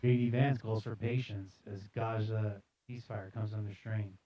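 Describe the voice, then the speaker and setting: A man's voice: fairly low pitch, level tone, normal speed, dull audio. A man hosting a casual comedic news show, reading headlines aloud and riffing on them with sarcastic, mock-serious repetition. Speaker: host of a live news commentary show. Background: music playing behind the voice.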